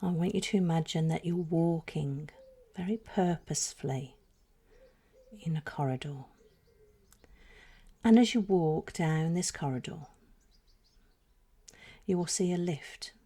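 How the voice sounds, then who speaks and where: A woman with a low, mellow voice leads a guided spiritual meditation. Her delivery is soft and breathy, often sinking close to a whisper. She places each phrase slowly and soothingly, leaving room between them. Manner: slow, soothing, deliberate phrasing with pauses.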